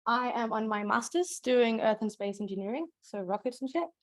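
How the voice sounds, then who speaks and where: Young woman, higher-pitched, informal. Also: animated, fairly quick, no echo, studio quality. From Denmark. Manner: informal, slangy. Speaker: master's student. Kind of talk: casual self-introduction.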